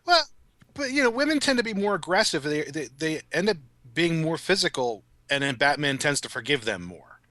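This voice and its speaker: A man's voice: a little high, big pitch swings, a little fast, pushed and bright, no room sound. A middle-aged man co-hosting a podcast in casual discussion. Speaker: podcast co-host.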